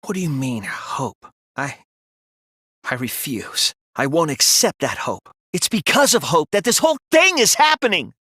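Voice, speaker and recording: A man voicing an earnest, sensitive young student detective in an anime video game, with intense, emotional, sometimes halting delivery. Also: on the high side, sing-song, bright, forceful tone, breathy edge, no room sound, studio quality, full character voice. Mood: shocked, disbelieving, then defiant, outraged. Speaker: voice actor.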